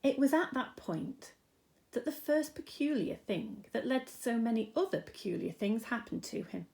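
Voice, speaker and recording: A woman's voice: on the high side, expressive, normal speed, gravelly, a little mellow. A woman narrating a children's storybook expressively, like a teacher reading aloud.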